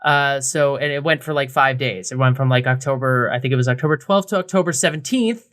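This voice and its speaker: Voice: mid-range voice, brisk, a bit bright. A podcast co-host chatting casually and comedically, with wry, sarcastic asides and slangy phrasing. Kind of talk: casual comedic chat about a beer festival.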